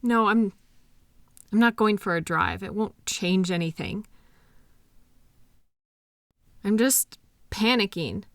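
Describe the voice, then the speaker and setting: A woman acting a hurt, anxious girlfriend in an intimate audio drama, emotional, accusatory and halting as she argues with her partner. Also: mid-range voice, a bit bright, dry recording, studio quality. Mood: subdued, anxious.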